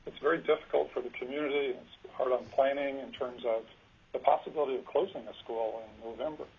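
A soft-spoken man, a school superintendent, giving earnest testimony at a legislative hearing with frequent ums. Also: medium pitch, steady tone, a little fast, slightly rough. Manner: earnest, hesitant with fillers. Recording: lo-fi teleconference line.

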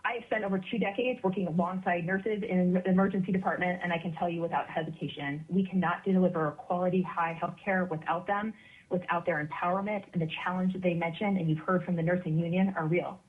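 Woman physician witness, earnest and emphatic, slightly low voice, phone-line audio. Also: steady tone, fast. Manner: earnest, emphatic, some fillers. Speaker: emergency physician testifying. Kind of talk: formal legislative testimony.

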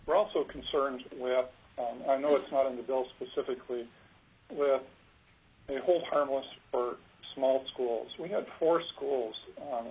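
A soft-spoken man, a school superintendent, giving earnest testimony at a legislative hearing with frequent ums. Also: mid-range voice, breathy edge. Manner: earnest, hesitant with fillers. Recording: lo-fi teleconference line.

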